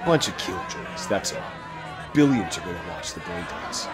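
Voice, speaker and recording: Gruff cynical cop, animated delivery. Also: male voice, low voice, moderate pace. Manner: brusque, dismissive. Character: gruff, cynical male security cop on guard. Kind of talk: video game dialogue acting. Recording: noisy street with crowd behind.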